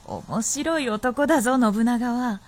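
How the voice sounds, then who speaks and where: An actress playing a playful, confident, centuries-old fox princess in a radio drama, with teasing, old-fashioned haughty speech. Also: female voice, on the high side, slow pace, darkish tone. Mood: playful, boasting. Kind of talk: Japanese radio drama acting.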